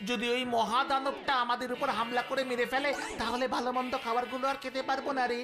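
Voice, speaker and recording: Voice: medium pitch, little pitch movement, fast talker, loud music behind the voice, close to an everyday voice. An actor voicing a mischievous, silly, food-loving young boy sidekick in a cartoon, cheeky and rambling with a whiny edge. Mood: greedy, fretful. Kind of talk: Bengali cartoon voice acting.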